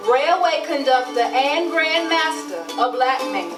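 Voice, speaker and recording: High-pitched woman narrating a play. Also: lively intonation, a little slow. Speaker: narrator reading stage directions. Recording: echoey, distant, music behind.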